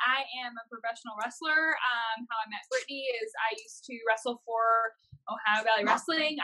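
Co-host, American woman of thirty: sharp bright tone, fairly high, casual and unpolished. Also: slight background noise, low-quality recording. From United States. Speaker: podcast co-host, professional wrestler. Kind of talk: casual chat. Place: plain indoor room.

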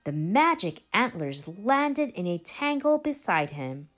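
Female children's story reader: unhurried, careful phrasing, highly varied melody, music underneath. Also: very animated. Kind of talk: expressive read-aloud narration for young children.

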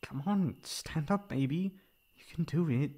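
A man's voice: fairly high pitch, unhurried, mellow. Man acting as a caring, teasing young boyfriend. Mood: concerned, coaxing. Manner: coaxing, breathy sighs, pet names. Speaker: voice actor playing a boyfriend. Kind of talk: ASMR boyfriend roleplay.

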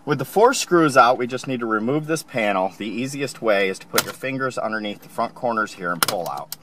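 A man calmly explaining, step by step, how to install a car part, recorded inside a car. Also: mid-range voice, brisk, slight background noise. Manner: calm, plain instructional. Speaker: installation instructor.

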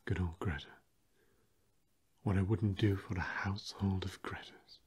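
A young man playing a fussy, old-fashioned vampire housemate in an ASMR roleplay, breathy and drily polite. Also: sits low, steady tone, darkish tone, heavily acted. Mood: dry, wistful. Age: young adult. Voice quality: breathy, husky. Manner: genteel, dry, measured.

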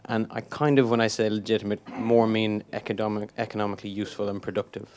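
A man's voice: fairly low pitch, flat delivery, fast talker, a little mellow. A man from a charity in the audience, asking his question with many fillers.

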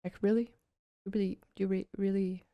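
A woman streamer giving casual, excited reaction commentary, gushing and swearing freely. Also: mid-range voice, fairly quick, dull audio, background noise. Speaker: vtuber streamer. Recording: music behind the voice. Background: game trailer music.

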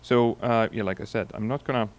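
A man in his forties from Iceland with an Icelandic accent, giving a relaxed conference keynote into a handheld mic, telling stories with many fillers and restarts. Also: lowish voice, a little fast. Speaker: keynote speaker. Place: large conference hall on stage. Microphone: handheld microphone.

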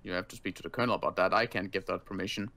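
A man voicing a wary but kindly armed guard in an improvised game roleplay, speaking casually and haltingly. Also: low voice, steady tone, sharp tone. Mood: cautioning. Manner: casual, halting, hesitant delivery.

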